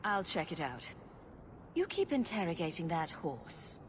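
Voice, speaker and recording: Game casting: sarcastic rogue champion, lowish animated voice, dry and flippant, reverberant space. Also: female voice, measured pace, slight background noise. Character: wry, sarcastic female rogue adventurer and champion. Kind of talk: dramatic video game dialogue.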